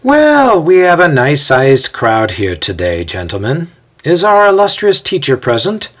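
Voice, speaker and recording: A man's voice: on the high side, wildly swooping pitch, measured pace, pitched above the performer's own voice. A man voicing an impatient, sarcastic wiry man in an audio storybook, with a haughty, dry, theatrical manner.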